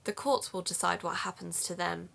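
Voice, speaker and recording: Actress as a bright, creaky-voiced podcast investigator. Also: female voice, fairly low pitch, steady tone, moderate pace, exaggerated voice. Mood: businesslike. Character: earnest female investigative podcast host. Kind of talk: audio drama, conversational podcast.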